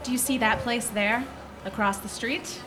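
A woman's voice: a little high, fairly even intonation, a crowd in the background, close to an everyday voice. An actress playing a nervous, determined middle-aged mother from Iowa in a live radio play. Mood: stern. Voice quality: halting, nervous laughter. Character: flustered Midwest mother, embarrassed. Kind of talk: live stage radio drama.

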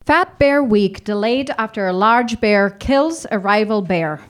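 A woman's voice: mid-range voice, lively intonation, measured pace. A woman reading a scripted news story. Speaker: news reader. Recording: faint background noise.

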